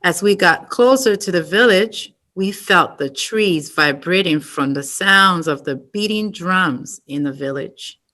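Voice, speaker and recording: Slow, low-voiced woman reading aloud. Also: expressive, bright, forceful tone. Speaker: storytime reader. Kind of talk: reading a picture book aloud.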